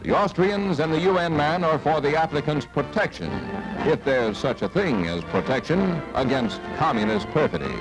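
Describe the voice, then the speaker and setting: A vintage newsreel soundtrack carries an American man announcing over prominent music. His voice sits fairly high and moves up and down with great animation. He delivers the script in a dramatic, punchy 1950s style. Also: expressive, moderate pace, low-quality recording. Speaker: newsreel narrator.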